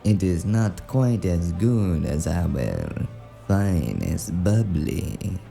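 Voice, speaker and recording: Male actor as suave underworld boss, low gravelly voice, formal, deliberate, quietly menacing, noir audio drama. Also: very animated, soft background music, full character voice. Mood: smooth, probing. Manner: formal, deliberate, silkily threatening.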